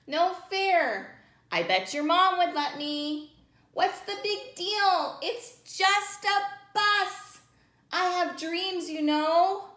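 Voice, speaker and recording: A woman voicing a pleading, sulky, excitable cartoon pigeon in a children's picture-book read-aloud, wheedling and dramatic. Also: extremely high pitch, drawn-out words, voice pushed up, heavily acted. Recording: roomy echo.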